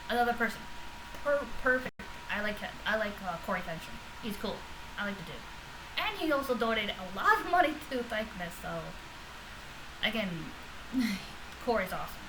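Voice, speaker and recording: A woman's voice: mid-range voice, expressive, brisk. Rambling woman vlogger, noisy recording. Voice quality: loud voice. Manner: rambling, with many fillers and restarts. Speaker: vlogger reacting to podcast episodes. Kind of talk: casual unscripted reaction vlog.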